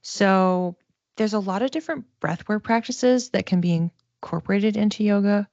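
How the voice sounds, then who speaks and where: A woman giving a casual live psychic reading, speaking conversationally and tentatively, thinking aloud with pauses as she explains. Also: medium pitch, darkish tone, no echo, muffled recording.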